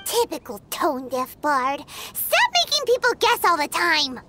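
Tiny squeaky fairy girl. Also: sing-song, moderate pace. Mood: indignant. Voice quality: squeaky, childlike. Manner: perky, indignant, emphatic. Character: tiny childlike floating fairy girl, chirpy and bossy. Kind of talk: cartoonish video game character acting. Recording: loud music behind the voice. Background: game music.

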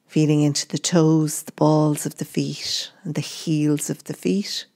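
A woman gently guiding a meditation in short, unhurried phrases. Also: deep voice, little pitch movement. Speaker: meditation guide. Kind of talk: guided meditation narration.

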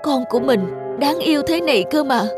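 A woman's voice: sits high, expressive, fairly quick, over music, voice pushed up. A woman voicing a fragile but determined young wife in a cartoon, tender and pleading. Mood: sad, thoughtful.

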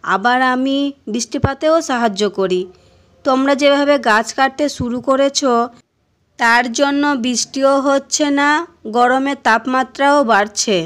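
A woman voicing a gentle, pleading talking tree in an amateur cartoon dub. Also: high-pitched, a little slow. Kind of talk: amateur Bengali cartoon dubbing.

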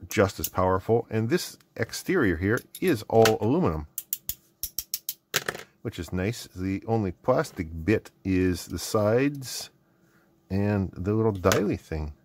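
A man's voice: deep voice, slight background noise. A middle-aged man giving casual, unscripted commentary at a workbench, rambling and muttering asides to himself as he goes. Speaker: host of an electronics mailbag video. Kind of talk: casual unscripted bench commentary while unboxing and testing gadgets. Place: indoor electronics workbench.

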